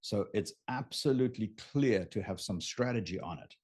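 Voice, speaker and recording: Husky low-voiced man coaching, native German speaker. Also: animated, normal speed, a little mellow. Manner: emphatic, informal, checks in with "right?" and "okay?". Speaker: business coach hosting a live session. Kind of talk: unscripted teaching talk with drawn illustrations.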